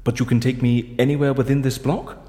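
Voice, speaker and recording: A man's voice: mid-range voice, flat delivery, fairly quick, dark tone, breathy edge, long reverb tail, lightly acted. A man acting in an audio drama as a polite, nosy prisoner who stammers and gets flustered as he talks his way around. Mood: curious, scheming. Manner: polite, stammering under pressure. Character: flustered, nosy imprisoned male interviewer, talking his way around.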